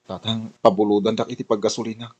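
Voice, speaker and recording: A man's voice: lowish voice, little pitch movement, fast, a little mellow, natural voice. A man acting a hard-pressed working husband and polite bill collector in an Ilocano radio drama, speaking earnestly and conversationally. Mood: pleading, frustrated.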